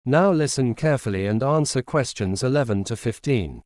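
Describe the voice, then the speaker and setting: A man serves as an exam announcer, reading scripted instructions in a formal, measured manner. His voice sits at a medium pitch and sounds very dry, with no room around it. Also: animated, darkish tone, dry recording. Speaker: test announcer.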